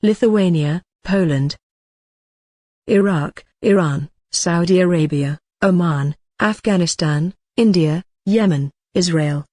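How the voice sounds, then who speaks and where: A computer-made woman's voice reads an emergency broadcast and a long list of countries in a flat way. Her voice is low and breathy, and the sound is dry and close. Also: slow, dry recording. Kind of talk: flat synthetic broadcast reading.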